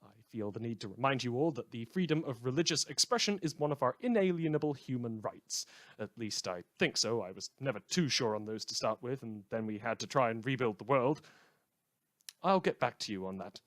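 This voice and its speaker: A man's voice: mid-range voice, lively intonation, a little fast, fairly bright tone, no echo, natural voice. A man playing a wry, opinionated community radio host in an audio drama, reading scripted news with a theatrical, dryly ironic delivery. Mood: indignant, earnest.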